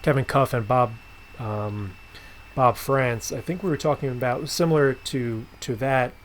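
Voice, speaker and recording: A man hosting a podcast, chatting casually and haltingly as he rephrases his way through questions and remarks. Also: mid-range voice, a little hiss or hum. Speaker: podcast host interviewing a writer. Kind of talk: casual conversational interview.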